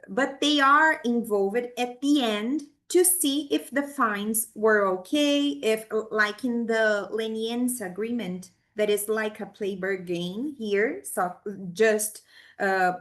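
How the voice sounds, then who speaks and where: A Brazilian woman, a native Portuguese speaker with a Brazilian accent, answering questions at an academic forum in halting English with many restarts. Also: on the high side. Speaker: conference presenter.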